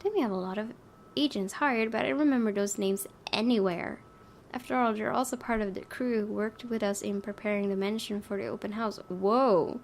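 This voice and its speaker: A woman doing let's play narration, reading a story aloud expressively with casual, offhand asides. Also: moderate pace. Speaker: gaming video host, reading a visual novel aloud. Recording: faint low rumbling background noise.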